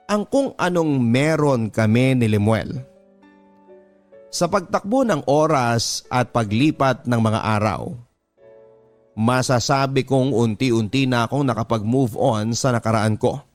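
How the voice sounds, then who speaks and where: A man with a Filipino accent reads a first-person story in a measured, confessional way, as a radio drama narrator. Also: mid-range voice. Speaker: radio drama narrator reading a listener's letter. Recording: music bed under the voice. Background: background music.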